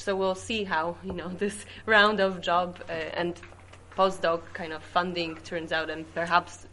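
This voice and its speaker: A woman's voice: on the low side, sharp tone. A young woman with a Polish accent, a recent PhD, speaking thoughtfully on a panel. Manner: thoughtful, hesitant restarts. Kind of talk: academic panel discussion.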